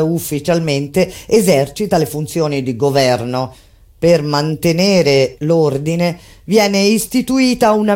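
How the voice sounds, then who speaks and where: A woman giving a solemn, declamatory theatrical narration in a deliberate, dramatic way. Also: low-pitched, mellow. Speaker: narrator in a stage reading.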